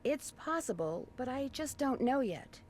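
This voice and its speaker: A woman's voice: sits high, very animated, unhurried, a little hiss or hum. A woman playing a plucky teenage girl detective in a video game, earnest and self-assured. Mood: earnest, determined.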